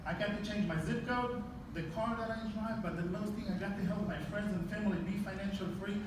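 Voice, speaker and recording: Excited man. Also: sits high. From Israel. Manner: excited, heartfelt, slightly halting. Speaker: speaker at a business event. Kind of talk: testimonial speech. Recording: echoey hall, distant mic, crowd noise.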